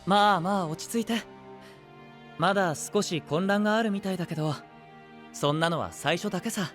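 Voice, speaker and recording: Gentle, high-voiced young knight leader. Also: male voice, faint music behind. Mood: soothing. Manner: soft, polite, measured, persuasive. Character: gentle, composed young male knight leader with grand ambitions. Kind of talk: anime-style voice drama acting.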